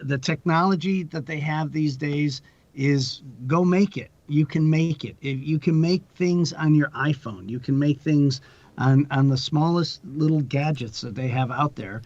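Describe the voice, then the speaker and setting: Higher-voiced man around sixty, chatting. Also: a little slow, darkish tone, no echo. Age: 60s. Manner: rambling, self-deprecating, repeats words. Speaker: podcast guest, actor and producer. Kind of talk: casual conversational advice. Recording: dull lo-fi audio.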